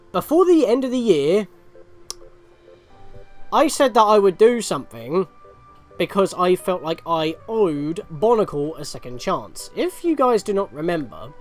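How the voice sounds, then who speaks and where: Rambling man with swooping, lively pitch. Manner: rambling, self-correcting. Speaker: game video host. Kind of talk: chatty gameplay commentary.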